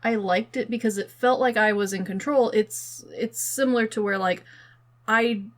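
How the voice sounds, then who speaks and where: A woman chatting as a podcast co-host, with a medium-pitched voice. She talks informally and feels her way through each thought, often hedging as she goes. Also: normal speed. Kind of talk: casual chat about films and games.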